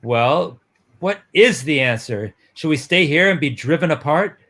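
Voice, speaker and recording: A man playing a lovestruck, hot-headed young boyfriend in a romance comic reading, with halting, melodramatic delivery. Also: a little high, expressive, a bit bright, exaggerated voice. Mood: troubled, halting.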